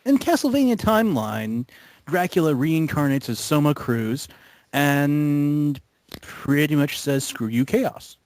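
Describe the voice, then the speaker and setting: A man's voice: on the high side, lively intonation, takes it slowly, darkish tone, no room sound. Rambling man in dry close sound. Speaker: member of a gaming video group. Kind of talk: casual chat about video games.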